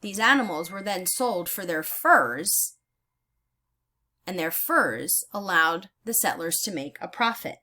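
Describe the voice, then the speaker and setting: A woman teacher reading aloud in a deliberate, instructional way, pausing to explain her thinking as she goes. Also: lowish voice, animated, sharp tone. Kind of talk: read-aloud lesson with think-aloud comments.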